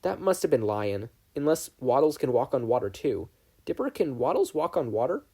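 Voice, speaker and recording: A man voicing a polite, caring boy companion in a story, calm and reassuring. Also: mid-range voice, moderate pace, husky, voice pushed up. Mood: calm, friendly.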